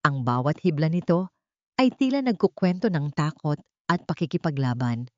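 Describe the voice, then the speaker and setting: Female drama narrator, low register, solemn delivery, slightly muffled lo-fi recording. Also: expressive, moderate pace, a little mellow, no echo. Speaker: audiobook narrator. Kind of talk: dramatic scripted narration of a love-story drama. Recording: dull, dry lo-fi recording.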